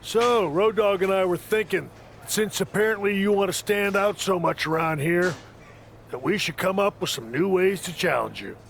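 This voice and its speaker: A man's voice: sits high, normal speed, vocal fry, slight background noise. A man playing a wry wrestling trainer who sets challenges, in video game cutscene dialogue. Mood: wry, challenging.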